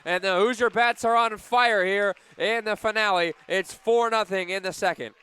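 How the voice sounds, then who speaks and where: A man calling live softball play-by-play for radio, measured between pitches and animated on big plays. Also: smooth, no echo.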